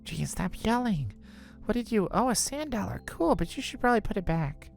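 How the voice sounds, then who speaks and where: Casual, teasing female classmate for a visual novel, played by a woman: animated intonation, vocal fry, music underneath. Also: medium pitch, moderate pace. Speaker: streamer voicing a game character. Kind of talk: visual novel character read.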